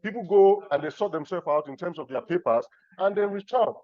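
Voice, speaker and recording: A middle-aged man from Nigeria giving measured, reflective remarks as a discussant on an academic conference panel. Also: high-pitched, animated, a little mellow, no room sound, highs cut off. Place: conference room.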